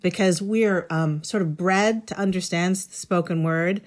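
A woman's voice: fairly low pitch, a little slow. A middle-aged woman speaking as an interview guest, explaining things in an articulate, thoughtful, conversational way. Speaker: interview guest, education writer.

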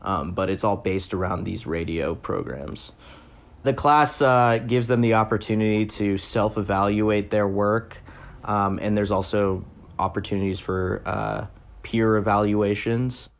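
A man's voice: fairly low pitch, unhurried, gravelly. A man working as a reporter, explaining things in a thoughtful, conversational interview style. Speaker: education reporter.

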